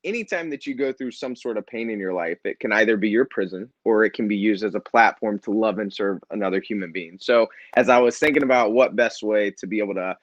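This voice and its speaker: A middle-aged man, a nonprofit founder, sharing his story in a relaxed, earnest way with light self-deprecating humor. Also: lowish voice, fast, few pauses, dry recording, dull audio. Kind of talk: casual interview storytelling.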